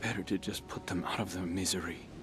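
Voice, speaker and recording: Man acting a troubled young soldier, lowish voice. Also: fairly even intonation, fairly quick, music in the background, lightly acted. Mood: troubled, uneasy. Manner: earnest, challenging. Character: troubled, outspoken younger male soldier with a conscience. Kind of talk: video game cutscene acting.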